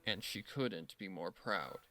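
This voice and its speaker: A man's voice: sits low, lively intonation, measured pace, sharp tone, soft background music. A man narrating a dramatic story from a script as the storyteller.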